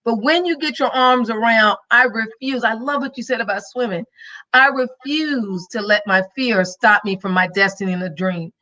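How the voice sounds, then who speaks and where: A woman's voice: a little high, moderate pace, bright, forceful tone, poor audio. A woman podcast host and minister giving a live-streamed motivational talk, emphatic and preachy, with a faith-filled rhythm.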